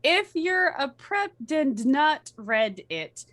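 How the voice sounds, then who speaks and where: A woman playing a bratty, defiant teenage author, reading out notes in a halting, emphatic way. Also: sits high, very animated, a bit bright, exaggerated voice.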